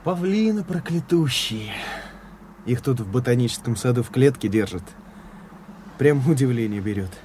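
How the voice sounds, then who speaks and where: A man's voice: medium pitch, lively intonation, moderate pace, long pauses, darkish tone, natural voice. An actor playing a plain-spoken, folksy, grumbling policeman in a Russian radio drama, with faint outdoor noise around him. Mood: grumbling wonder.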